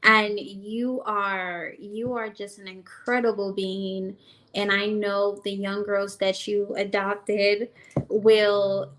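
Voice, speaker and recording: A woman's voice: mid-range voice, a little hiss or hum, low-quality recording. A woman from Jamaica with a Jamaican accent, a guest on a talk show, heaping effusive praise on the host. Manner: slow, warm praise, effusive. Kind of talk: casual chat show talk.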